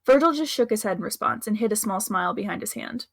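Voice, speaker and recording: A woman reads scripted fiction as narrator at a quick clip. Her mid-pitched voice has some creak in it, and the recording is close and dry. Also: lively intonation, a bit bright, no echo.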